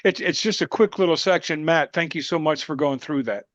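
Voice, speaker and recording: A man's voice: fairly high pitch, brisk, a bit bright, no echo, highs cut off. A man co-hosting a live webinar, making friendly, upbeat announcements to viewers and laughing easily. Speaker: co-host, event moderator.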